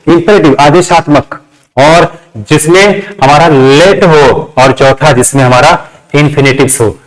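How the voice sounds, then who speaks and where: A male teacher with a Bihari accent and a slightly high voice explains a lesson step by step. He repeats his phrases and dictates key words one at a time. Short tag questions punctuate his explanation. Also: rough recording. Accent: Bihari Indian. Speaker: English grammar teacher.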